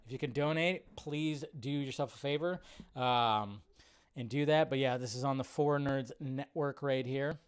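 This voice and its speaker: A man's voice: medium pitch, moderate pace. A man hosting a live stream with casual, enthusiastic, rambling commentary over a video.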